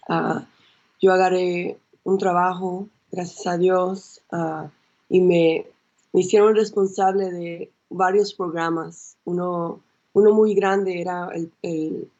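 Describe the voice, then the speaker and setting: A young woman from the United States with a Salvadoran and Mexican-influenced Spanish accent, a consultant guest talking in a halting way with restarts over a video call. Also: lowish voice, a little mellow, highs cut off. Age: young adult. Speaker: guest, early childhood consultant. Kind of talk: casual interview.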